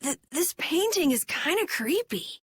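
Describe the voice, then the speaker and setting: A woman's voice: very high-pitched, very animated, measured pace, a bit bright, dry recording, heavily acted. A woman voicing a blunt, clever teenage girl in a video game, sounding matter-of-fact and pointed.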